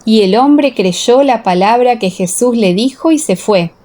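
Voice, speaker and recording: A woman's voice: fairly high pitch, animated, measured pace, warm tone. A woman reading scripture as the narrator of a dramatized audiobook.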